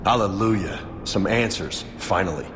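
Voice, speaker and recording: A man playing a brash, eager young soldier in a video game, cocky and gruff. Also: low voice, normal speed, background noise, natural voice. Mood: sarcastic relief. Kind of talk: dramatic video game voice acting.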